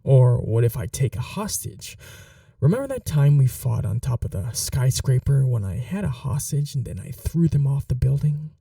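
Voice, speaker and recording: A man's voice: mid-range voice, big pitch swings, moderate pace, creaky, warm tone, no room sound, far from the mic. A man voicing a playful, smitten yandere supervillain in an ASMR roleplay, chatty, vain and flirtatious, musing aloud with casual menace. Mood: playful, menacing. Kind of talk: ASMR roleplay monologue to the listener.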